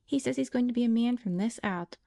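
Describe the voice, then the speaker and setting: Woman doing a young sister, brave and soothing, little higher voice, mellow. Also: a little fast, creaky, dry recording, studio quality. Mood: reassuring.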